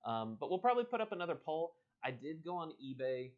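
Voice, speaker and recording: A man's voice: on the high side, big pitch swings, quick pace, phone-quality sound. A man hosting a casual live stream, chatting and reading a story aloud. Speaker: host and book reader.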